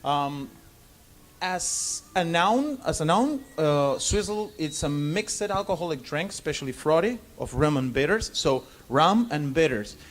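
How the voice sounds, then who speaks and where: A man from Argentina with a Spanish accent, giving an informal lecture in halting English and often checking in with "okay?". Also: fairly high pitch, lively intonation. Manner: halting non-native English, frequent "okay?" check-ins. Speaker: bartender giving a seminar. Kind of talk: informal lecture to a live audience.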